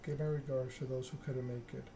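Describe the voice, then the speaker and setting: A man plays a stern vampire prince, a woman ruler in a story game. The delivery is formal and deliberate, held very level, over a noisy background. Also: mid-range voice, flat delivery, gravelly, close to an everyday voice. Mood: commanding.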